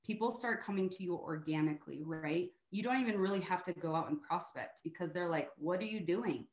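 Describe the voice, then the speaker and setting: An American woman speaks as a guest on a team call with an emphatic, encouraging manner. Her voice is a little low for a woman. She talks conversationally, like a motivator, checking in with listeners, and the audio has a clear room echo. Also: brisk, dull audio. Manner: encouraging, emphatic, often adds "right?". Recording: roomy, echoey call audio.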